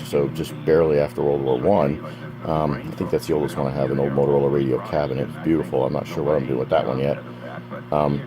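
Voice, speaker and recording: An American man giving casual, unscripted narration of a hobby project, rambling conversationally with asides. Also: low voice. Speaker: hobbyist presenting a DIY project. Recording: background chatter and hum from playing radio. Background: old AM radio playing with a loud hum.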